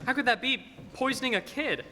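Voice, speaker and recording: A man's voice: extremely high pitch, fairly quick, bright, forceful tone, full character voice. A teenage man acting a bewildered, stammering, agitated family man in a radio play. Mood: agitated, disbelieving. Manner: stammering, flustered. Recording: noisy echoey hall stage recording.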